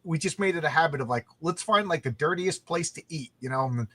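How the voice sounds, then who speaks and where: A man's voice: a little high, expressive, a little fast, fairly bright tone, husky. A man hosting a casual chat, teasing his guests and acting out quotes for laughs. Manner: joking, teasing, acts out quotes. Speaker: podcast host.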